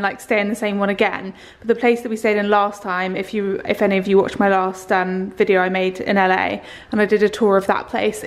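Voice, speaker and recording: Young woman vlogger, chatty and upbeat, camera held at arm's length indoors. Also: medium pitch. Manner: chatty, upbeat, rambling with fillers. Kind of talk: casual vlog house tour. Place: rented house, indoors. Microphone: handheld camera at arm's length.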